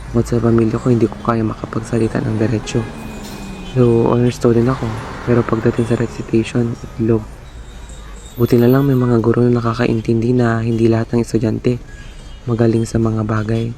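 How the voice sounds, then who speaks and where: Brief: young man as a shy, stammering student narrator, flat tone, faint hiss. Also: mid-range voice, natural voice. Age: young adult. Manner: soft-spoken, self-doubting, stammers. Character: shy, insecure male student, Michael. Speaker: narrator and lead character. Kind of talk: student radio drama narration.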